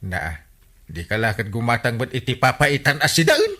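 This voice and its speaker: A man's voice: medium pitch, wildly swooping pitch, normal speed, sharp tone, full character voice. A man acting a flustered, blustering village captain with a Filipino accent in a comedy radio drama. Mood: evasive. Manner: blustering, exclamatory, swooping interjections. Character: male village captain Inteng, excitable, flustered debtor.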